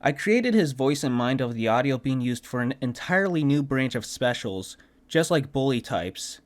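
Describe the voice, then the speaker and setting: A man's voice: mid-range voice, big pitch swings, moderate pace. A man giving a casual commentary on voice work for a video game, speaking as the developer and voice actor.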